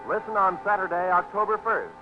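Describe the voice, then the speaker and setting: A man's voice: high-pitched, lively intonation, fairly quick. A man with an American accent reading scripted announcements in a formal, emphatic 1940s radio style. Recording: dull 1940s radio recording. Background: music.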